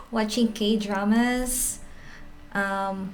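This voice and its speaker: Slow-talking woman in her thirties. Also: medium pitch, fairly even intonation. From Philippines. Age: 30s. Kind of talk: casual chat.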